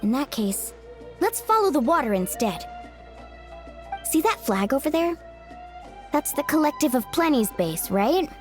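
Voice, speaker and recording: Very high, exuberant young girl. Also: big pitch swings, unhurried, long pauses. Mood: confident. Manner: exuberant, dramatic, cheering. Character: spirited, boastful young girl warrior, eager and playful. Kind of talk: video game voice acting. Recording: loud game music underneath. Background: loud background music.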